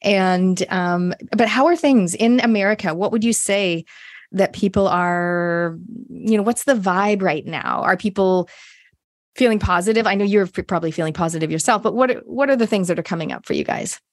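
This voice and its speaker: A woman's voice: medium pitch, moderate pace, dry recording, studio quality. Chatty Canadian woman interviewer. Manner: chatty, curious questioner. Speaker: podcast host. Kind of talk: casual interview chat.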